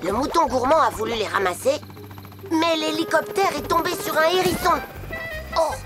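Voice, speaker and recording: A woman's voice: high voice, normal speed, natural voice. A woman voicing a confident, imaginative little child telling stories in a French children's cartoon. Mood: confident, playful. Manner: animated storytelling. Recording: music behind the voice.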